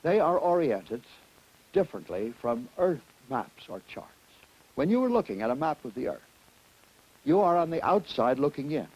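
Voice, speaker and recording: A man speaks as an educational film presenter, his voice pitched a little above the usual male range. He lectures to students in a deliberate, didactic way. Key points get clear, emphatic phrasing. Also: very animated, darkish tone, poor audio.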